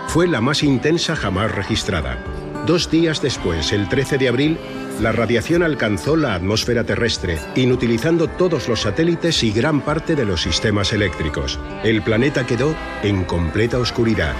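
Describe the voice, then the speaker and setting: An actor playing a grave documentary narrator of a disaster chronicle, solemn, measured and deliberate. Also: male voice, medium pitch, expressive, lightly acted. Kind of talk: scripted documentary-style narration. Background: music.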